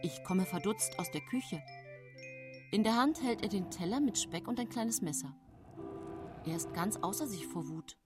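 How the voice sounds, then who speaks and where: A woman's voice: medium pitch, animated, normal speed, pauses often, over music, lightly acted. An actress playing a composed, matter-of-fact woman poisoner who recounts her case in sober radio play narration. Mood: sober, tense. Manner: sober, controlled storytelling.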